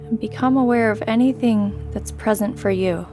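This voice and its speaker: A woman guiding a sleep meditation in a gentle, soothing way, with long pauses between her phrases. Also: fairly high pitch, music in the background. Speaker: meditation guide. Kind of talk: guided sleep meditation, scripted narration.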